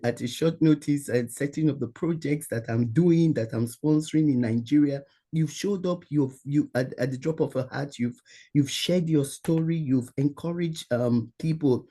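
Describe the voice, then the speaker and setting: A man hosting a conversational interview, speaking earnestly and haltingly, often repeating his phrases. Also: a little high, dark tone. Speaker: interview host.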